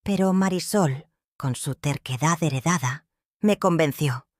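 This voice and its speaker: An older woman with a Mexican accent narrating her own life story in a slow, heartfelt, reflective way. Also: low voice, no room sound, clean studio sound. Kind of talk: first-person storytelling narration.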